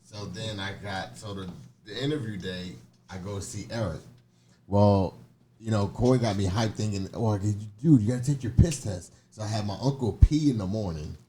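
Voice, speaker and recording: A man's voice: on the low side, normal speed, dark tone, slight background noise. A man telling a comic story in casual, slangy conversation, acting out the exchanges as he goes. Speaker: podcast guest telling personal stories.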